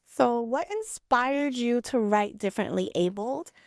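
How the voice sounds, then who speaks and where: A woman's voice: fairly high pitch, lively intonation, measured pace, creaky, a little mellow, no echo, clean studio sound. A woman hosting an interview, introducing her guest and asking questions in a polite, formal way. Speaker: interview host, educational director. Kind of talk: hosted interview.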